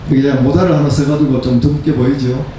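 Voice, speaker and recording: Man in his seventies, pastor, emphatic sermon delivery, repeated phrases, mellow tone, heavily reverberant room. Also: mid-range voice, steady tone, brisk. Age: 70s. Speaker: pastor preaching. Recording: very echoey room, distant mic, faint noise.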